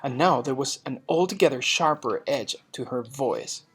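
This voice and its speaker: A teacher giving instructions and reading a storybook aloud in a deliberate, expressive, explanatory way. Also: medium pitch, breathy edge.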